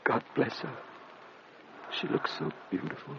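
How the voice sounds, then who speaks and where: An actor playing an anguished, devoted husband in a gothic radio drama, tender and emotional. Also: male voice, medium pitch, animated, measured pace, gravelly. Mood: anguished awe. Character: anguished, devoted husband at his dying wife's bedside. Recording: dull radio recording with faint noise.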